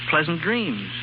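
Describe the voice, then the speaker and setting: An actor playing a gallant, skeptical young gentleman in a 1930s radio drama, with a wry, polite, brisk manner. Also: on the high side, full character voice. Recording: muffled, noisy 1930s radio broadcast.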